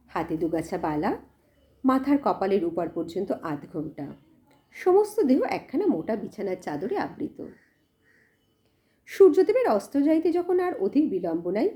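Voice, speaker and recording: A woman narrating a literary story in an expressive, measured storytelling manner. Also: medium pitch. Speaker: audiobook narrator.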